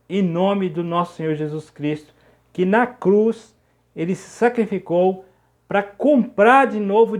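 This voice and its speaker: A Brazilian man with a notably high-pitched voice preaches informally. He explains things in a didactic way, restating key phrases and often stopping to check that the listener follows. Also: a little mellow. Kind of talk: informal sermon, teaching talk.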